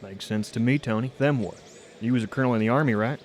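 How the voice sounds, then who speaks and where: An actor with an American accent playing a practical, commanding sheriff in a radio drama. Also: male voice, medium pitch, fast talker, darkish tone, a little hiss or hum, close to an everyday voice. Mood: steady, deliberating.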